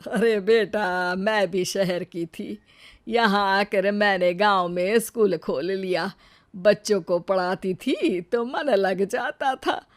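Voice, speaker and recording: A woman plays a doting village mother-in-law for a cartoon. She speaks very slowly at a middling pitch. Her manner is motherly, that of a well-off matriarch. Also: lightly acted. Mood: reassuring.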